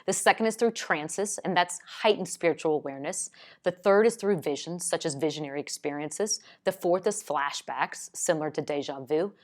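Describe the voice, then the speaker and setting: A woman speaking in a somewhat low register, loud and outspoken by nature. She delivers an earnest, encouraging faith lesson straight to the viewer, teaching step by step. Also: normal speed. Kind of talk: earnest teaching talk to camera.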